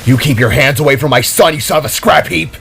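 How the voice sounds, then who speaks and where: A man's voice: a little high, fast talker, gravelly, fairly bright tone. Narrator playing a male hero, protective father, defiant, commanding, confrontational, audio story, music behind. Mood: protective rage. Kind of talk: audio story character acting.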